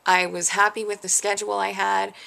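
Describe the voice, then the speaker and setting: Vlogger, young adult woman; heavy vocal fry; frustrated, self-questioning rant. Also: medium pitch, level tone, fairly quick, pushed and bright, poor audio. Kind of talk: candid rant to camera.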